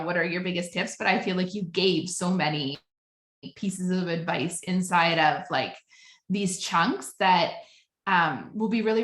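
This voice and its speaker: A woman's voice: lowish voice, fairly bright tone. A woman hosting a casual interview, chatting in an enthusiastic, effusive way. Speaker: podcast host, business coach. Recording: roomy, echoing voice pickup.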